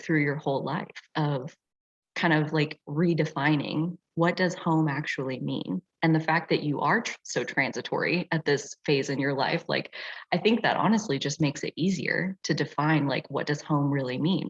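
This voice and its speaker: Husky low-voiced woman, evenly pitched. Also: normal speed. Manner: reflective, frequent "like" filler. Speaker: astrology teacher and session facilitator. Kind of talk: casual explanatory talk in online group session. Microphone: video call. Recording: dull, lo-fi video call audio.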